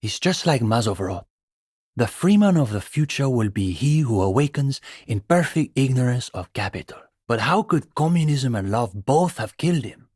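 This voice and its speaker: An actor playing an earnest young communist student intellectual in a video game, speaking in an articulate, pedantic way. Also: male voice, medium pitch, lively intonation, moderate pace, darkish tone, slightly rough, no room sound, studio quality. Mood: earnest, assured. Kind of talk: video game dialogue acting.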